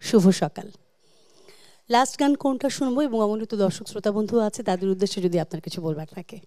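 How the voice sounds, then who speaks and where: A woman's voice: medium pitch, animated, quick pace, a little mellow, no room sound. A woman with a Bangladeshi accent presenting a television music show in a gracious, scripted style.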